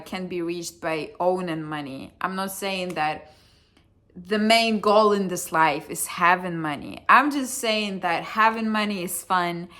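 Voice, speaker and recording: A woman's voice: fairly low pitch, pushed and bright. A young woman with a Russian accent, speaking English as a second language in a blunt, chatty vlog, swearing freely and poking fun at herself. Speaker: vlogger. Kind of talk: casual chat to camera.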